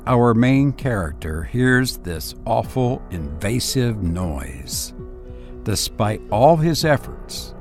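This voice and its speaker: A man hosting and narrating horror stories in a dramatic, scripted style, with deliberate, suspenseful pauses. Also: on the low side, a little mellow. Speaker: narrator and host of audio story show.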